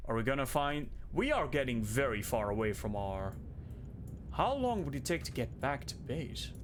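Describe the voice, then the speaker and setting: Calm Dutch-accented man musing aloud. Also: mid-range voice, background noise. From Netherlands. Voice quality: calm, soothing voice. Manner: rambling stream-of-consciousness musing, thinking aloud. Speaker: streamer. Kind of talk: casual livestream commentary while playing.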